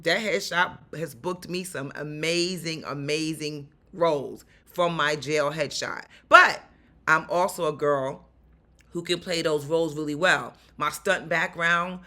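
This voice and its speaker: A woman with a raspy voice and a New York accent, an actor and stunt performer giving upbeat, animated career advice straight to her viewers. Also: low-pitched, a little slow. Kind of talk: casual advice vlog.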